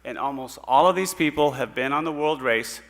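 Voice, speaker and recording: A middle-aged man from Puerto Rico tells a church congregation about mission trips, earnest and unhurried, with frequent "uh"s between thoughts. His voice is bright and forceful, with a long hall echo from the sanctuary around him. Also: mid-range voice. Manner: earnest, storytelling, pauses with fillers.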